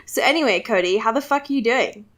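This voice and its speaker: A woman's voice: fairly high pitch, animated, fast, fairly bright tone. A young adult woman co-hosting a podcast in casual comedic banter, wry, teasing and sweary. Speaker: podcast co-host.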